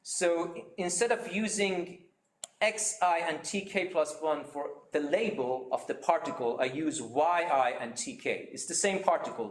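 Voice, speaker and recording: A man giving a seminar talk, explaining his method step by step with many pauses and fillers. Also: on the high side, echoey room. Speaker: seminar speaker.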